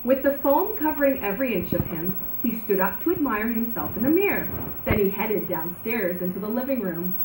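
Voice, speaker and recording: A woman reads a children's storybook aloud with expressive, dramatic emphasis. Her voice sits at an average pitch, in a roomy, echoing space with faint noise behind it. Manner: animated, dramatic, drawn-out emphasis. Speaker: storybook reader. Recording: roomy echo, background noise.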